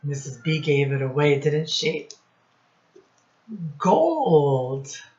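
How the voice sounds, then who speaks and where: Female children's read-aloud teacher, deep register, very unhurried, encouraging question-and-answer delivery, muffled lo-fi sound. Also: very animated, darkish tone. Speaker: storytime teacher for young children. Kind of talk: read-aloud lesson quizzing young children.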